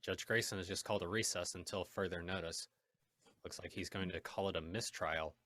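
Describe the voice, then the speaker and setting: Man as gentleman crime fighter, low, sharp, crisp, confident, radio drama. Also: fairly even intonation, a little fast. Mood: matter-of-fact. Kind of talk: old-time radio drama acting.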